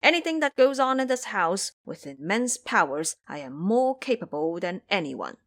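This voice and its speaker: A woman's voice: medium pitch, big pitch swings, normal speed, fairly bright tone. A woman voicing a boastful, lazy, lustful male pig monster in an audio drama, pleading and bragging as he talks.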